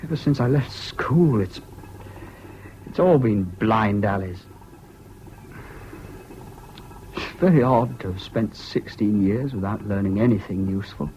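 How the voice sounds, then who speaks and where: An actor in a British radio drama playing a troubled, excitable, self-doubting young man with an English accent and an earnest manner. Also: mid-range voice, moderate pace, lightly acted. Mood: dejected, self-doubting. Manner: hesitant, earnest, swinging between gloom and giddy excitement. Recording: dull, hissy old radio recording.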